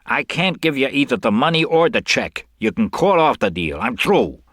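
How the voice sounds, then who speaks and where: Guy putting on a slick slangy hustler for an audio story, raised voice, bossy, really animated. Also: medium pitch, no echo, clean studio sound, pitched above the performer's own voice. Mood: indignant. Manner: slangy, fast-talking, pushy. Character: brash, slick con man with slangy bravado.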